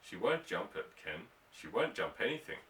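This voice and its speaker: A man voicing a stern, short-tempered rancher father in an audiobook, curt, sarcastic and gruff. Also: low voice, sing-song, normal speed, fairly bright tone, slight background noise, full character voice. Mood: scornful, sarcastic. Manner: barking, withering, curt. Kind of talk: audiobook character voice. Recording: echoey room, distant microphone.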